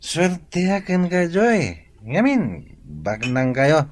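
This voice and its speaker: A carefree, jobless young husband acted by a man who wheedles in an Ilocano accent. His voice is fairly high and swings widely in pitch. He drawls like a drunk in a comedy drama. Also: big pitch swings, drawn-out words, slight background noise, exaggerated voice. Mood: drunk, sentimental.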